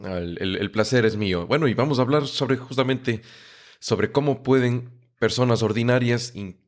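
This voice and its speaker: A man's voice: medium pitch, moderate pace. A man hosting a podcast and interviewing a guest, friendly and stumbling over words now and then. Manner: friendly, repeats words and restarts often. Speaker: podcast host.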